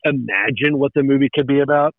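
A man's voice: mid-range voice, fast. A man in his thirties talks in a rambling, nostalgic way, often stopping to restart a thought. His voice comes through a narrow, tinny phone-line sound. There is no room echo at all. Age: 30s. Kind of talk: casual chat.

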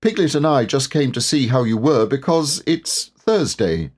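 A man voicing a humble, slow-witted, good-natured bear in a storybook, speaking in a hesitant, muddled way. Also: medium pitch, very animated, pitched above the performer's own voice. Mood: friendly hesitant.

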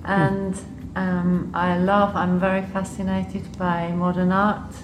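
A woman's voice: lowish voice, monotone, slow, background noise. A woman with an English accent, from Switzerland and Liechtenstein, giving unscripted interview answers as a guest in a thoughtful, hesitant way. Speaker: interview guest, public health official. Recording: roomy echo, music behind. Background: music.

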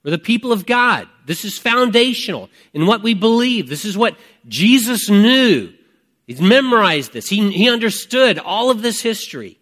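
A man in his fifties preaching a conversational sermon, reflective and emphatic, with deliberate pauses and repeated phrases. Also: sits high, big pitch swings, a bit bright. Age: 50s. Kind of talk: conversational sermon with personal testimony.

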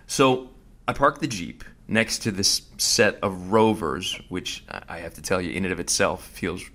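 A man's voice: sits low, a little slow, sharp tone. A man hosting a podcast, narrating his visit in a casual, conversational way with fillers and restarts. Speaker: host.

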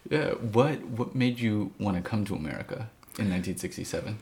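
A man's voice: fairly low pitch, a little fast, a little mellow. A man in his twenties hosting a casual family interview as the interviewer. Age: 20s. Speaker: podcast host, interviewer. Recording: noticeable background noise.

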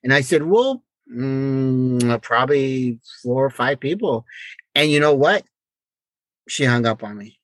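Male co-host, medium pitch, conversational and a little halting, very dry recording. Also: lively intonation, no echo, low-quality recording. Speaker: podcast co-host. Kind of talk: casual relationship chat.

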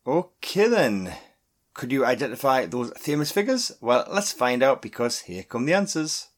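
A man's voice: medium pitch, sing-song. A man hosting a podcast quiz, reading questions and answers in a scripted, upbeat and encouraging way. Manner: upbeat, encouraging, reads clues and answers slowly and clearly. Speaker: quiz host.

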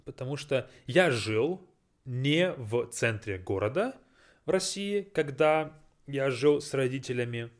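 A young man teaching beginners, speaking deliberately and repeating phrases to translate them. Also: mid-range voice, lively intonation. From Russia. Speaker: language teacher. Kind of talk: slow teaching monologue mixing Russian and English.

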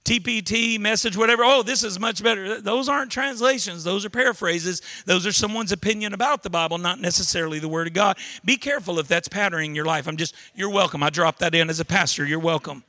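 A man's voice: high-pitched, fast talker, few pauses, bright, forceful tone. A middle-aged man with a Southern accent from Louisiana, preaching a sermon as pastor with folksy jokes, asides and frequent restarts.

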